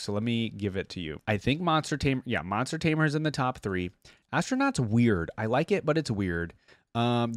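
A man's voice: mid-range voice, no echo, studio quality. A young adult man giving casual reaction commentary, chatty and informal, thinking aloud as he hosts. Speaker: reaction video host. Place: indoor room at a desk. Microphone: close desk microphone on boom arm.

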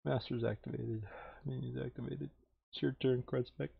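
A man's voice: medium pitch, flat delivery, moderate pace, creaky, husky, dry recording. A man chatting casually and jokingly as he plays a tabletop game with a friend. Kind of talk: casual gameplay chat. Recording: slightly dull, dry close recording.

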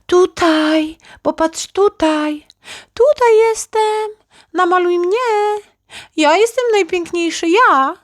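A woman's voice: very high-pitched, slow pace, no echo, clean studio sound, pitched above the performer's own voice. A woman voicing vain, showy storybook flowers eagerly calling out for attention. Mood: eager, boastful. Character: vain flowers of a meadow clamouring to be painted.

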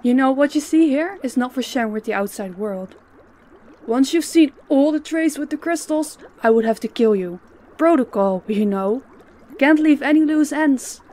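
A woman playing a stern, menacing female boss in an audio drama, speaking in a commanding, deliberate way. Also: high voice, expressive, dark tone. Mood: cold, threatening. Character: stern, menacing female crime boss posing as business owner.

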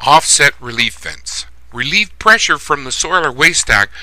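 A man giving a scripted plumbing lesson as a voice-over instructor, reading in a measured, steady way. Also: fairly high pitch, dry recording, low-quality recording.

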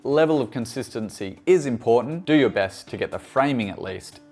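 A man's voice: medium pitch, expressive, fairly quick, darkish tone. Man presenting a tutorial over music. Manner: conversational, explanatory. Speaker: videographer and presenter. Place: bright furnished room indoors.

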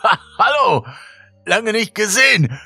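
A man voicing a cheery, eerily beaming homeless stranger in a horror audiobook, with drawn-out, exaggerated cheerfulness. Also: high-pitched, sing-song, creaky, sharp tone, slightly rough, no room sound, soft background music, pitched above the performer's own voice, full character voice. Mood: eerily cheerful.

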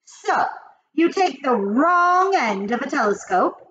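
Storybook witch leader: high, wildly animated, venomous, grandiose, commanding. Slightly dull recording. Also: exaggerated voice. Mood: boastful, instructing. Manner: commanding, grandiose, drawn-out emphatic delivery. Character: imperious, venomous female witch leader, boastful and cruel. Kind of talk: storybook character voice.

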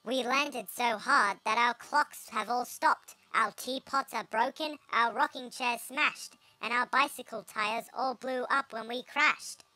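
A man's voice: very high-pitched, a little slow, hardly any pauses, pushed and bright, no echo, pitched above the performer's own voice, exaggerated voice, rough recording. A man voicing a tiny, polite, grateful storybook mayor who speaks in earnest, formal rhyme. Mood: distressed, pleading. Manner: earnest, formal rhyming speech. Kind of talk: storybook character voice.

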